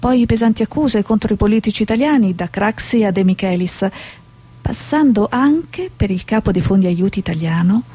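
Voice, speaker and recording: An actress playing a haunted, searching woman journalist in a radio drama, with a breathy voice. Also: medium pitch, mellow. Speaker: actor in a radio play. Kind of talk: dramatic stage monologue and dialogue.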